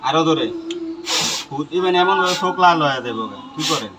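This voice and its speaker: A slightly high-pitched man with a colloquial Bangladeshi accent instructs a trainee. He gives short, repeated, directive commands, with loud music sounding behind him. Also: lively intonation, normal speed, rough recording. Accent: Bangladeshi colloquial Bengali. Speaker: mobile repair trainer. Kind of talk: hands-on instruction to a student.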